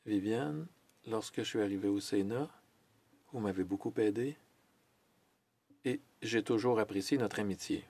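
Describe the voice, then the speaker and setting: Male interpreter, low register, dry mic; measured formal delivery with hesitations and self-corrections. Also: darkish tone, breathy edge, no room sound. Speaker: French interpreter of a Senate speech. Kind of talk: simultaneous interpretation of a formal speech.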